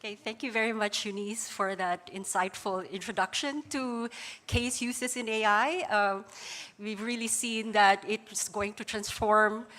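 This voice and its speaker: A woman's voice: mid-range voice, fairly even intonation, measured pace, fairly bright tone. A woman moderating a conference panel, thanking one speaker and introducing the next with many hesitations. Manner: hesitant, many filler sounds.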